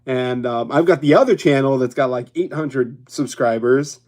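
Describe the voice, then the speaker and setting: A young man from Hawaii chats loosely with his livestream viewers. He rambles from one point to the next, drifts off track and laughs easily. His voice sits at an ordinary middle pitch. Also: moderate pace, darkish tone. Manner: rambling, chatty, laughs often. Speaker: YouTube livestream host. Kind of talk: casual livestream chat with viewers.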